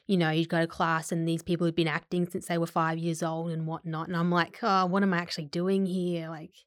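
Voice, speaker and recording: A woman's voice: fairly low pitch, flat delivery, brisk, dry recording, studio quality. Self-deprecating Australian woman. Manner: self-deprecating, laughs often. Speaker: actor being interviewed. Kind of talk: casual interview chat.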